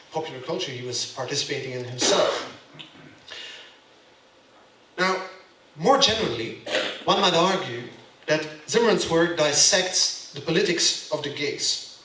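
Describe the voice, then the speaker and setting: A man with a Belgian accent lectures to a room, with a bright, forceful voice pitched a little high. He speaks emphatically and keeps checking in with his listeners. He sounds distant from the mic in an echoey room. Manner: emphatic, keeps asking "yeah?" to the audience. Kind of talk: gallery lecture.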